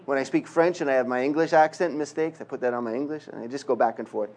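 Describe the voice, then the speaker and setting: A man's voice: medium pitch, fast talker, a little mellow. A middle-aged man from Quebec with a Canadian accent, preaching a conversational sermon with self-deprecating humor. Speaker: guest preacher. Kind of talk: sermon with jokes and prayer.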